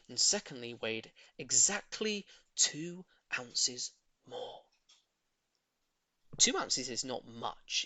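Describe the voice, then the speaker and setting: A man reading a children's story in an expressive, theatrical narrating style. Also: on the high side, creaky, dry recording. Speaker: audiobook reader.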